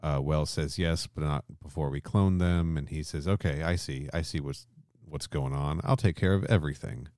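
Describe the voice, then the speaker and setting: A man with an extremely low voice hosts a casual podcast. He recaps a story in loose, slangy speech, often seeking agreement. The sound is close and dry. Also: normal speed, darkish tone, dry recording. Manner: colloquial, mildly sweary, often seeking agreement. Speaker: podcast host.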